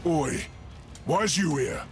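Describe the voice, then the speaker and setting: A man playing a gruff, bossy ork squadron leader in a video game, with a growling, gravelly Cockney English voice. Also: a little high, takes it slowly, soft background music. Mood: stern, threatening. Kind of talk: video game character acting.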